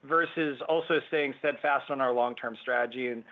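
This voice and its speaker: A man answering business questions as a company executive on an earnings call, with halting corporate phrasing. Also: medium pitch. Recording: thin phone-like conference line.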